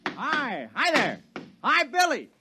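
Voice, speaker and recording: A man's voice: high-pitched, huge pitch swings, normal speed, noisy background. A man acting a naive, easygoing, folksy small-town fisherman in a 1950s radio drama. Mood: wondering. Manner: folksy, simple, wondering. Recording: muffled vintage radio recording.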